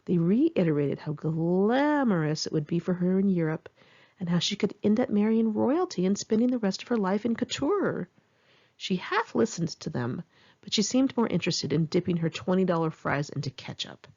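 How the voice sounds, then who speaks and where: A woman's voice: fairly low pitch, animated, moderate pace, a little mellow, slightly rough, highs cut off. A middle-aged woman reading her memoir aloud in one take, candid and wry, with casual swearing. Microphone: home microphone.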